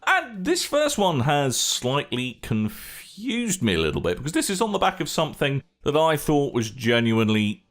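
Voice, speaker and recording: A man's voice: a little high, normal speed, few pauses. A British man hosting a gaming podcast, joking around in loose, rambling chat. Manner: jokey, lively, rambling asides. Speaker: podcast host. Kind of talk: casual banter.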